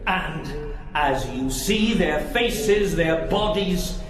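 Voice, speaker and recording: Echoing, high-pitched, pompous alien preacher. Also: male voice, animated, very slow. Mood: cold, superior. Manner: grandiose, archaic, preacherly diction. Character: cold, pompous alien intelligence posing as fiery preacher. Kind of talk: audio drama acting. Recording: echoing voice under loud music.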